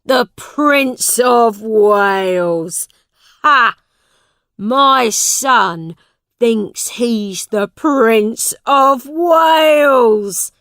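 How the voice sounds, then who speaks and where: A woman voicing a brutish, bad-tempered father in a storybook, with loud, mocking bellows. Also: on the low side, steady tone, drawn-out words, few pauses, dry recording, clean studio sound, exaggerated voice. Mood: scornful, shouting.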